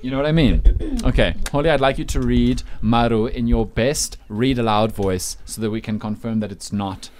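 A man's voice: medium pitch, normal speed, darkish tone, a little hiss or hum. A man hosting a morning radio show, teasing his co-host with playful, mocking banter in a studio. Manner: playful and mocking, laughs often.

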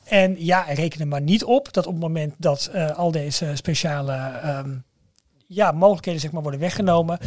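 A man's voice: a little high, normal speed, a little mellow, no room sound, clean studio sound. A man co-hosting a podcast, explaining things in a casual, emphatic way and often repeating himself. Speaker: podcast co-host.

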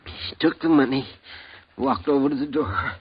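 An actor playing a shaken, wounded frontier townsman with rough country grammar in a 1950s radio drama. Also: medium pitch, normal speed. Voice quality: husky, ungrammatical country speech. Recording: dull old radio broadcast.

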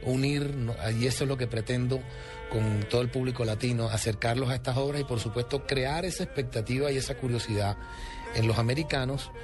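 A man's voice: mid-range voice, fairly quick. Man, Colombian singer, expansive, enthusiastic, radio interview, music playing behind. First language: Spanish. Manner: expansive, enthusiastic, lists songs. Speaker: singer guest.

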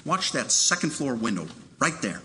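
A man playing an eager, dreamy young suitor in an old-time radio play, excitable and boastful. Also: medium pitch, normal speed, natural voice. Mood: teasing, eager. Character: George Bailey, eager dreamy young man courting a girl. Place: theater stage, live radio play. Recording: echoey stage hall recording with noise.

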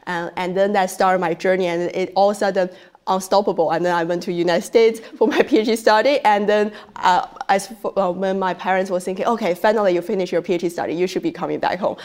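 A woman's voice: mid-range voice, fairly even intonation, fast, darkish tone. A woman from China with a Chinese accent, whose first language is Chinese, speaking as a researcher guest in a podcast interview with many fillers and restarts.

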